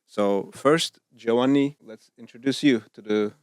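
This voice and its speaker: A man moderating a podcast, with slow, halting phrasing and non-native grammar. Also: mid-range voice, smooth, no room sound, studio quality. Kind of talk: casual podcast chat.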